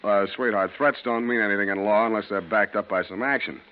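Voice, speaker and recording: An actor playing a street-wise, unimpressed private detective, narrating and talking in a wry, slangy, deadpan 1940s radio style. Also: male voice, fairly low pitch, quick pace, slight background noise, close to an everyday voice. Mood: dry, unimpressed. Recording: muffled old 1940s radio recording with music.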